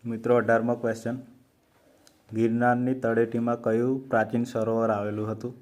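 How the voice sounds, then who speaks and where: A man with a Gujarati accent reads exam quiz questions in an even, unvarying tone. He moves through each option slowly and deliberately, at a middle pitch. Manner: slow, measured reading of options. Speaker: quiz host for exam preparation. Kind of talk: reading quiz questions and answers.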